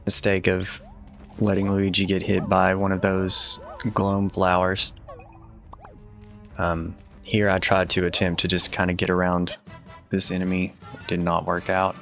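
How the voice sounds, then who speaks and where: A man giving casual, matter-of-fact video game walkthrough commentary in a low-key instructional way. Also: fairly bright tone. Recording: voice over game music. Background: video game music.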